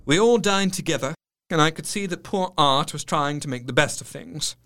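Solemn gentleman doctor, higher-pitched voice. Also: lively intonation. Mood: sombre, tender. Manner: measured, literary, solemn. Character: grieving young doctor narrating his diary, gentle and sombre. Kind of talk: dramatic first-person audio drama narration. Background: music.

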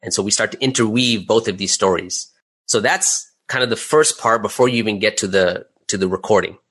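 A man with a fairly low voice talks as a guest in a relaxed interview. He explains his work conversationally, one step at a time, often checking that the listener follows. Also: a little fast, breathy edge. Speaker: interview guest, audio drama creator and voice actor.

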